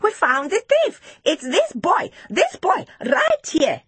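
Woman doing a shrill, bossy young schoolgirl. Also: very animated, slow pace, a bit bright, breathy edge, dry recording, exaggerated voice. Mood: shrill, accusing. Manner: demanding, accusatory.

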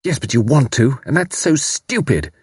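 A man's voice: lowish voice, lively intonation, moderate pace, breathy edge, no room sound, studio quality. A man voicing a fierce, bitter, impetuous young woman in an audiobook, with intense, emphatic delivery. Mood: bitter, impassioned. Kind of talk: audiobook character dialogue.

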